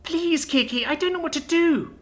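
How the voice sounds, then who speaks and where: A woman's voice: a little high, sing-song, a little fast, fairly bright tone, pitched above the performer's own voice. A woman voicing a small, frightened young girl in an audiobook, childlike and pleading. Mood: distraught. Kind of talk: audiobook character acting.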